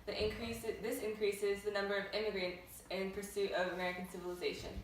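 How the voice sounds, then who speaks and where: A woman's voice: mid-range voice, little pitch movement, fast talker, lightly acted. A teenage girl playing a formal studio news anchor, reading a script in an amateur newscast. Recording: very echoey room, distant mic, faint noise.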